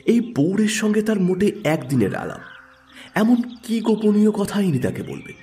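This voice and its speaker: A man's voice: sits high, big pitch swings, moderate pace, warm tone. A man narrating a literary story in a scripted audiobook style.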